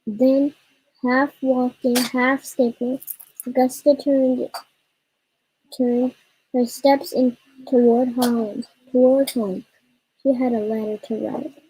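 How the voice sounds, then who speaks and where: A young girl reading a story aloud haltingly, stumbling and repeating words. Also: sits high, level tone, dark tone, slight background noise, poor audio. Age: child. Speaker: child co-reader of a storytime.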